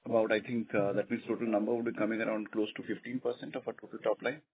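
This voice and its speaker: A man's voice: lowish voice, level tone, fast talker. A man with an Indian accent, speaking unscripted as a company executive answering questions on an earnings conference call. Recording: tinny phone conference line, clear noise.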